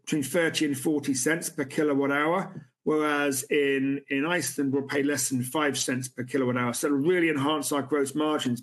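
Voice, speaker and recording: Halting CEO fielding investor questions. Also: male voice, mid-range voice. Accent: non-American. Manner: halting, many restarts and fillers. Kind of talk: conference Q&A. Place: room with a city view at night.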